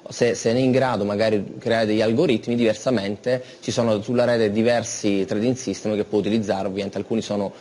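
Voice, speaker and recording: Man, young adult, mid-pitched, studio interview guest, eager, frequent restarts. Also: steady tone, fairly quick, few pauses, a little mellow. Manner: eager, many restarts and fillers. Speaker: company representative guest.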